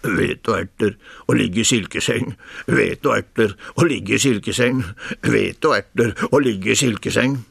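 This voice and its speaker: A man's voice: lowish voice, gravelly, a bit bright, husky, no room sound, clean studio sound, voice pushed down, heavily acted. A man voicing a simple, grumbling pig in a folk tale, plaintive and mumbling. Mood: contented mumbling. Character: grumbling, simple-minded male pig, easily led.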